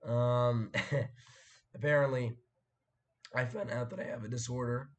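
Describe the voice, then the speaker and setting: A young man hosting a casual live stream, his voice hoarse and cracking after a sore throat, rambling and clearing his throat as he chats with viewers. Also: medium pitch, fairly even intonation, a little slow. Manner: rambling, chatty, frequent fillers and throat clearing.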